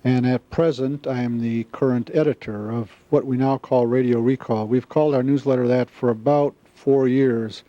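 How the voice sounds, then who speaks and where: An American man in his seventies from the Midwest, recalling things in an interview in a halting, measured way. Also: medium pitch, fairly even intonation, no echo. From Wisconsin, US Midwest. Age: 70s. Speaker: club member, newsletter editor. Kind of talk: interview recollection. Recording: slightly dull, dry interview sound.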